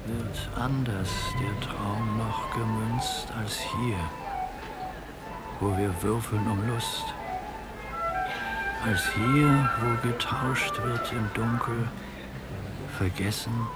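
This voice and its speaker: An actor in a radio play declaiming dark, passionate verse in a measured, theatrical manner. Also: male voice, fairly low pitch, poor audio. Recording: loud music behind the voice.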